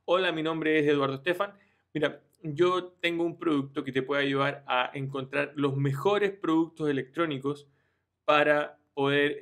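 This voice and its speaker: A man's voice: on the high side, a bit bright. A man, talking viewers through a screen-share tutorial in an informal way, often checking in with "ya" and restarting his phrases. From Chile. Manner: informal, addresses viewers directly, frequent "ya" and restarts. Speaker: online business youtuber.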